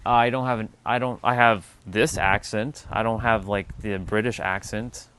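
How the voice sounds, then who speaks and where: A man's voice: fairly low pitch, steady tone, a little slow, fairly bright tone, smooth. Rambling man hosting a spiritual livestream. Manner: rambling, musing, answers viewer chat; casual, swears offhandedly. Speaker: livestream host. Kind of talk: casual spiritual livestream chat.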